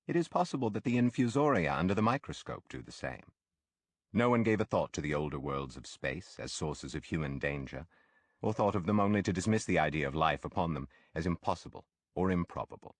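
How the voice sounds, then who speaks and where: A man with a low, deep voice narrates literary prose for an audiobook. His intonation moves expressively across a wide range while the phrasing stays formal and deliberate. The recording is dry and close, with no room sound. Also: no echo.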